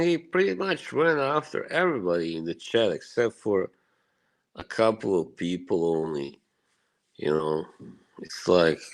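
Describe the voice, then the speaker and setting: Slow, very deep-voiced man from Bosnia. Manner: rambling and profane, full of "you know" and short laughs. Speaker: live streamer talking to his chat. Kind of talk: casual rambling chat.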